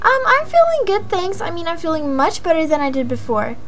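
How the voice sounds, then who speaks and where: A woman's voice: very high-pitched, wildly swooping pitch, fairly quick, fairly bright tone, slight background noise, heavily acted. A woman voicing a cheerful, polite young schoolgirl daughter in a game roleplay, answering agreeably.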